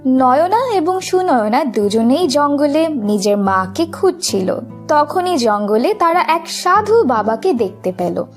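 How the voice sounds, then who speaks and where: A woman's voice: sits high, very animated, takes it slowly, faint music behind. A woman narrating a scripted fairy tale for children.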